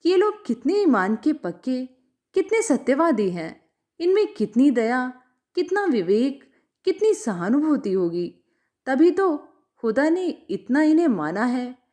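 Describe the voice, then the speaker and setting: A woman's voice: sits high, very animated, unhurried, darkish tone. A woman storyteller voicing a humble, devout young village man who speaks earnestly and respectfully. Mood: admiring.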